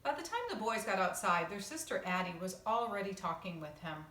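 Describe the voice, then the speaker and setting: A woman with a mid-pitched voice tells a children's story the way a teacher does for a class. Her delivery is expressive and informal. She sounds a little way from the microphone, in a roomy, echoing space. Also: brisk. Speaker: teacher reading a picture book to her class. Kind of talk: read-aloud storytelling with casual asides to children. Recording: roomy echo, distant microphone.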